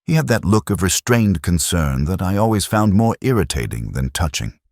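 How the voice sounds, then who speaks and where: A man narrating a scripted first-person detective story in a measured, literary, world-weary manner. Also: sits low, big pitch swings, a little mellow, no room sound, clean studio sound.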